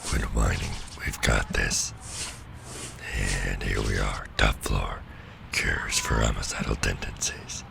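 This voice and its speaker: A man voicing a gruff, sardonic alien symbiote with a growling monster voice in an audio drama. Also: steady tone, slow, reverberant, noisy background, full character voice. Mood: sardonic, mischievous. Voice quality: deep gravelly growl.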